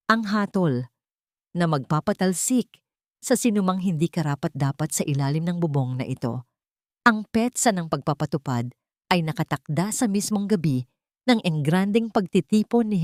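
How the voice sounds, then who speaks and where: A woman with a Filipino accent narrating a scripted dramatic story in a measured, theatrical way. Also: fairly low pitch, expressive, no room sound, studio quality.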